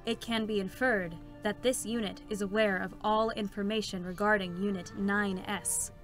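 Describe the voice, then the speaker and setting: A woman's voice: mid-range voice, fairly even intonation, normal speed, close to an everyday voice. A cold, procedural female support robot, acted by a woman, speaks in formal, clipped announcements. The game drama reading has music playing behind the unfeeling, clinical delivery. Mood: clinical, detached.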